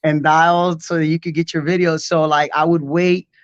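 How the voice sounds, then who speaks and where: A man's voice: fairly high pitch, flat delivery, moderate pace, smooth, no echo, highs cut off. Laid-back Puerto Rico-born man, rambling. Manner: loose, rambling storytelling with many fillers. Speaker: guest b-boy. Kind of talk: casual interview chat.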